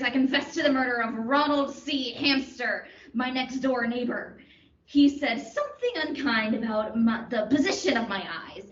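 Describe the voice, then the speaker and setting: A woman's voice: a little high, a bit bright. A young woman acting a crazed, boastful murderer in a comic radio play, with a melodramatic, theatrical delivery. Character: crazed, boastful confessed killer, melodramatic and unhinged. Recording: dull, roomy, distant microphone.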